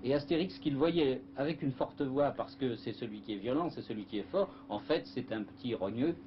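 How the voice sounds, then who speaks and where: A man, a radio producer, recalling the making of a show, halting with many fillers. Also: mid-range voice. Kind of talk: documentary recollection. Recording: dull archive tape with hiss.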